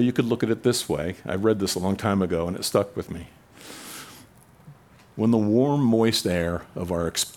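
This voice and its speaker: A man preaching a sermon in a conversational way, rising in pitch and volume for emphasis. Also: breathy edge. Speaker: pastor.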